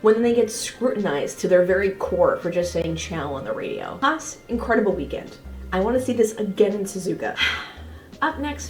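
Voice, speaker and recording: A woman's voice: medium pitch, a little mellow. Woman reviewing races, echoey room. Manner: opinionated, informal. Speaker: motorsport analysis video host. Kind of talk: casual race review commentary. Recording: echoey room, faint music behind.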